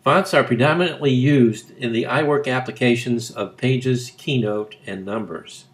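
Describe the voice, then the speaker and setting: A man narrating scripted instructions as a tutorial, reading in measured phrases. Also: mid-range voice.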